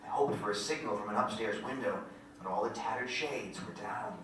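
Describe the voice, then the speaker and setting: A dramatic storyteller acted by a man recounts his boyhood in measured literary phrases. The voice is bright and projected, heard at a distance in a very reverberant room. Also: on the low side, close to an everyday voice. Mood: tense, vivid. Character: grown male narrator recalling boyhood adventure, vivid and dramatic. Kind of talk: first-person theatrical storytelling. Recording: very echoey room from afar, faint noise.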